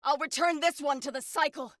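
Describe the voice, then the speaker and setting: Intense, bright-voiced young warrior woman. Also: high-pitched, steady tone, brisk, no room sound, clean studio sound. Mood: grim, determined. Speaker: video game voice actor. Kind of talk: scripted game combat barks and companion lines.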